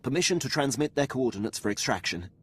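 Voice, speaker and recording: An actor playing a dutiful, loyal military officer in a video game, speaking formally and with precise, clipped diction. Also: male voice, mid-range voice, very animated, fast talker, fairly bright tone, breathy edge. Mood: businesslike, urgent. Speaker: starship captain serving a lord.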